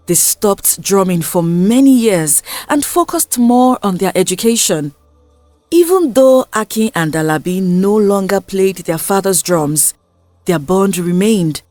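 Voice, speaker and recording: A woman storyteller reading a scripted folktale in a measured, clearly phrased way. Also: mid-range voice, lively intonation, a little mellow, no echo, faint music behind.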